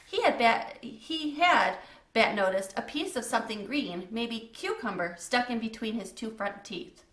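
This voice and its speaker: A woman's voice: fairly high pitch, animated, a little slow, a bit bright, roomy sound. A woman reading a children's book aloud as a storyteller, in a scripted narration style.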